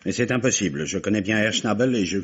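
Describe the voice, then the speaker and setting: Husky, hesitant male suspect. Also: fairly low pitch, lively intonation, quick pace. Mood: incredulous, flustered. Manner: hesitant, incredulous. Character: flustered, protesting businessman under police questioning. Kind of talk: vintage French radio mystery acting. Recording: dull old radio-play recording.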